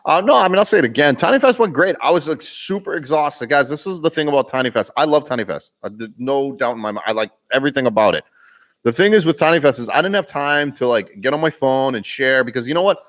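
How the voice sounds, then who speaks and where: A man's voice: mid-range voice, animated, fast talker, a bit bright. A young man with a Boston accent chatting casually on a live stream, reading comments aloud, laughing often and restarting his sentences. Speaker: vlogger hosting a live Q&A.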